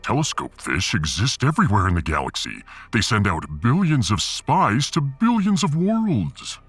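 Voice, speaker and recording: A man's voice: mid-range voice, very animated, moderate pace, a bit bright, slightly rough, no echo, heavily acted. A man voicing a tough, boastful military security chief, blunt and self-important, in a sci-fi audiobook. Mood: self-assured. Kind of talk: sci-fi audiobook character acting. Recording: faint music behind the voice.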